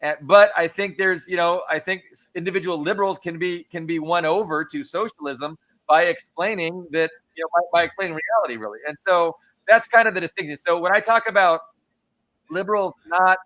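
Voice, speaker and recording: A man's voice: sits high, fairly quick, a bit bright, low-quality recording. A man hosting a political discussion, explaining theory in a lecturing tone with long stacked clauses.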